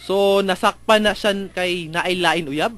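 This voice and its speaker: A man's voice: high voice, slow pace, a bit bright. A man with a Filipino accent playing a stern, insistent lead detective in a melodramatic radio drama. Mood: businesslike, probing. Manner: insistent, questioning, authoritative. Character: stern, pressing male lead police detective. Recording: music under the voice.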